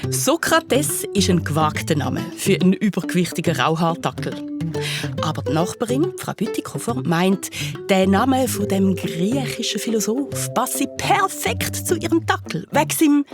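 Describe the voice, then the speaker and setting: A woman's voice: medium pitch, very animated, normal speed, over loud music. A woman with a Swiss accent narrating a children's story in a chatty, wry, conspiratorial way.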